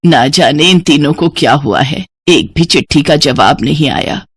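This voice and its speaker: A man's voice: a little high, lively intonation, normal speed, a bit bright, breathy edge, no room sound, studio quality, pitched below the performer's own voice, exaggerated voice. A man voicing a worried, pleading old village mother-in-law in a moral story cartoon.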